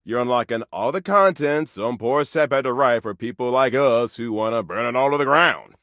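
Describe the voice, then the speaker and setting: A man's voice: medium pitch, normal speed, fairly bright tone, no room sound, clean studio sound, lightly acted. A man voicing a jaded, irreverent video game character with a cynical, needling delivery.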